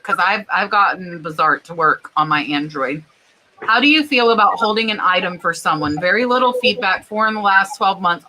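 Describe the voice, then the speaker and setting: Live stream host, woman, bright forceful voice, chatty, reads comments. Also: mid-range voice, a little hiss or hum, rough recording. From Florida. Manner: chatty, laughing, reading viewer comments.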